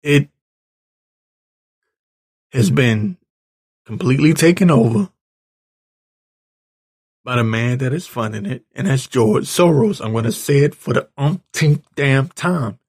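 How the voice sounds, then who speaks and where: A man with a raspy, hoarse voice giving an informal opinion rant as a commentator, halting, blunt and exasperated. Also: mid-range voice, expressive, darkish tone. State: hoarse, clearing his throat. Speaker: political commentator reading tweets and articles.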